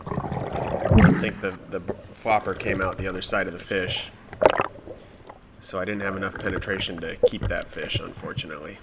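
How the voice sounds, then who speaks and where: A man with a cold and a stuffed-up nose, narrating his spearfishing dive footage in a relaxed, matter-of-fact way. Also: low voice, very noisy. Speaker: spearfishing vlogger.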